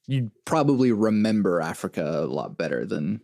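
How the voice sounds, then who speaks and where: A man with a fairly deep voice hosts a relaxed, conversational interview. His questions are informal and loosely phrased, built up piece by piece. The recording is dry, with hardly any room sound. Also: normal speed, gravelly, no room sound. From born in Venezuela, moved to the US at six. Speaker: podcast host, interviewer.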